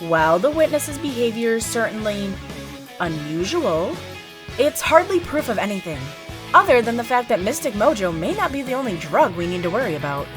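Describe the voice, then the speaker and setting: A composed rabbit prosecutor played by a woman, formal and cool. Her voice is on the high side with lively inflection, and music plays clearly behind it. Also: normal speed.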